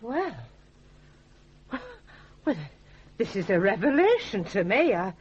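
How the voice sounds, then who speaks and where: A woman's voice: medium pitch, sing-song, slow pace, darkish tone. An actress playing a flustered, middle-aged mother who runs a village business in a radio drama, hesitant in her delivery. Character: flustered mother running a village catering business, Mrs Munning. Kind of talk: early-1980s British radio drama acting. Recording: dull old radio broadcast, faint noise.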